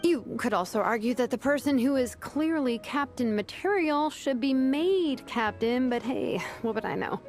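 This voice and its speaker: A woman voicing a dry, practical young craftswoman in a video game, talking in a wry, deadpan way. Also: high-pitched, normal speed, hardly any pauses, faint music behind. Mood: sardonic.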